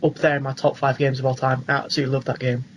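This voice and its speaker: A man's voice: medium pitch, level tone, very fast, highs cut off, slight background noise. A young British man co-hosting a podcast, talking informally and agreeably in casual chat. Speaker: podcast co-host. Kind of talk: casual film and game chat.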